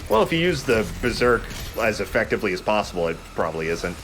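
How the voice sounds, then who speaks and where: A man's voice: fairly low pitch, sing-song, fast talker, a bit bright, slight background noise. A man giving casual commentary as he plays through a video game. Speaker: let's player.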